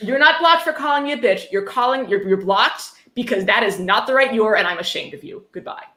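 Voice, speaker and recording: A woman's voice: on the high side, expressive, fairly quick, sharp tone. A woman hosting a casual livestream, sarcastic and given to swearing. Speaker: livestream host moderating chat. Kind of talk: casual livestream chat with dry banter. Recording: roomy echo.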